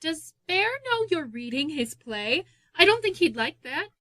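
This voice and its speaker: An actress playing a polite, protective wife who speaks hesitantly in a modern radio play. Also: female voice, high voice, very animated, a little slow, bright, forceful tone, heavily acted. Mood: concerned, hesitant. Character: Diana, protective, polite wife of a private playwright.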